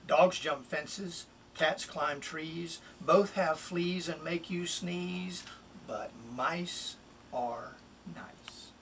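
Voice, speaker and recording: A middle-aged man, a children's author, introducing and expressively reading a picture book in a deliberate, emphatic way. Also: a little high, a bit bright. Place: small wood-panelled home office, indoors. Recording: distant mic, room noise.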